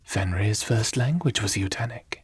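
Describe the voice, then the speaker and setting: A man playing a calm, curious, formal god-like spirit in an ASMR audio roleplay, speaking in measured, pensive phrases. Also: lowish voice, husky. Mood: calm, studious.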